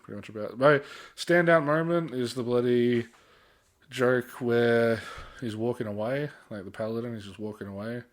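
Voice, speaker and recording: A man's voice: medium pitch, normal speed. A man co-hosting a podcast in a casual, slangy chat style with some mild swearing. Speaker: podcast co-host.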